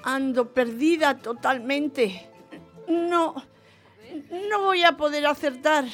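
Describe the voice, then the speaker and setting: A woman acts as a flustered Spanish quiz contestant in a radio play. Her high voice goes slowly and hesitantly, politely giving up, with faint music behind. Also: fairly bright tone. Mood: defeated, polite. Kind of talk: radio theatre phone-in dialogue.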